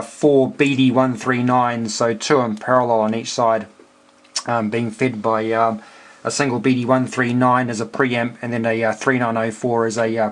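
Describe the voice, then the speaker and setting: Man, New Zealand accent, amateur radio operator, halting delivery, casual technical explanation, medium-pitched voice.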